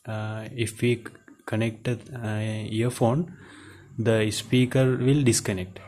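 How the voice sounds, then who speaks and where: Monotone, slow-talking man demonstrating a gadget. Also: fairly low pitch. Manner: halting, hesitant delivery with short phrases. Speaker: presenter of a gadget teardown video. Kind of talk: unscripted product demonstration. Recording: clear steady background noise.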